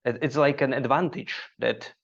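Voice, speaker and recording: A man from Slovakia with a Slovak accent, speaking English as a second language, chatting haltingly as a guest comedian on a podcast. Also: mid-range voice, gravelly. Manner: halting, searching for words. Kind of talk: casual podcast conversation. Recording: dull lo-fi audio.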